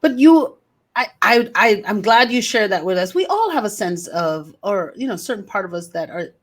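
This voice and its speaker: A woman hosting a podcast talks at a middle pitch for a woman. She responds to her guest in an affirming, reflective way, often echoing and building on what was said. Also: big pitch swings, moderate pace. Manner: affirming, reflective, frequent encouraging interjections. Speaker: podcast host. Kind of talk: interview host.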